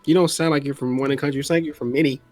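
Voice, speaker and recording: A man's voice: mid-range voice, steady tone, rapid-fire, darkish tone, no room sound, soft background music. A young man joining a casual gaming podcast chat, hesitant and joking as he gives his views.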